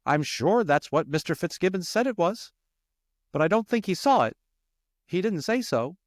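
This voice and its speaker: A man's voice: sits high, sing-song, normal speed, no echo, studio quality. A man voicing a polite, modest mother mouse in an audiobook, speaking carefully and tentatively. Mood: plain, earnest. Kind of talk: audiobook character voice.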